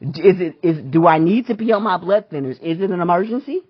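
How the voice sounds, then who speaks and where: Husky woman arguing on a phone call, demanding answers, repeats herself, kinda muffled audio. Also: lowish voice, quick pace. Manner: insistent, demanding, repeats questions. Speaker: patient calling a medical provider. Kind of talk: confrontational phone conversation.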